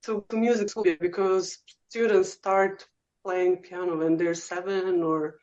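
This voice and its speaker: A woman's voice: fairly low pitch, little pitch movement, a little slow, a little mellow. A woman from Serbia in her thirties with a Serbian accent, a guest telling her story in a casual interview, chatty and full of ums. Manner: chatty, frequent "um" and "uh", laughs easily. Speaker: guest oboe professor. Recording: slightly muffled lo-fi audio.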